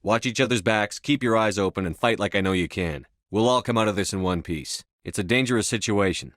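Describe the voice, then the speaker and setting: A man voices a steadfast male space-marine commander for a video game. His voice sits low, and he speaks in clipped, authoritative phrases. The recording is dry and close, with no room around it. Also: fairly quick, a bit bright, dry recording, close to an everyday voice. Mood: commanding. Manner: clipped, authoritative military delivery. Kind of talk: video game dialogue lines.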